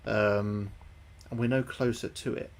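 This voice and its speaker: A man's voice: on the low side, fairly even intonation, a little slow. A young man livestreaming a game, thinking aloud to his chat, sweary and repeating himself. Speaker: livestreaming gamer. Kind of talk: casual gameplay commentary to chat.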